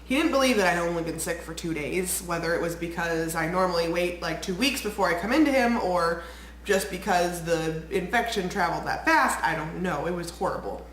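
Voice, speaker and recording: A woman's voice: on the low side, expressive, brisk, a bit bright. A young woman vlogging in a casual, rambling way, a bit congested and nasal from a cold. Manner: bubbly, rambling, lots of "like". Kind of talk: casual chatty vlog. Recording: echoey room, faint ambient noise.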